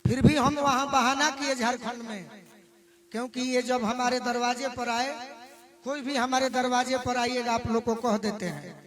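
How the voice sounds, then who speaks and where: A man with a Bhojpuri accent speaks in a very high-pitched voice. He addresses people off the cuff and emphatically, mixing Hindi with Bhojpuri, with a clear crowd around him. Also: steady tone, moderate pace. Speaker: singer. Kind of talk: impromptu public address to a gathering.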